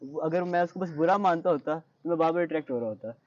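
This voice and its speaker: A man's voice: on the high side, fast, a little mellow, dull audio. A young man with a Pakistani accent answering interview questions in an earnest, reflective way, mixing in English phrases. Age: young adult. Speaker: interview guest, high-achieving student.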